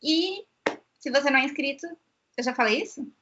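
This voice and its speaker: A woman's voice: high voice, animated, brisk, muffled recording, noisy background. A woman with a Brazilian accent chatting casually as a host. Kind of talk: casual chat.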